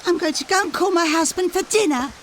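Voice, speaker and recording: A woman's voice: extremely high pitch, fast talker, slight background noise. An actress playing a prissy, easily offended married woman in a comedy audio drama. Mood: huffy, prim.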